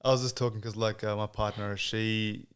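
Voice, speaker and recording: A man's voice: fairly low pitch, level tone, moderate pace, smooth. A man with an Australian accent hosting a podcast, asking questions in a casual, chatty way and backing up his guest with frequent mm-hmms. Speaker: podcast host. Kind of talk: casual interview conversation.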